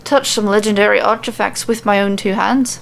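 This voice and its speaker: A woman's voice: medium pitch, steady tone, a little fast, gravelly, a little hiss or hum, close to an everyday voice. Woman putting on a brash Irish warrior woman. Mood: nonchalant, blunt. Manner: nonchalant, blunt.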